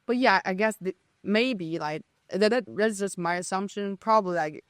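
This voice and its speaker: A woman's voice: medium pitch, moderate pace, dry recording, studio quality. A young woman from China, a native Chinese speaker with a Chinese accent, talking as a podcast guest with hesitant, restarting speech. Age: young adult. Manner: hesitant, many restarts and fillers. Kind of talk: casual conversation.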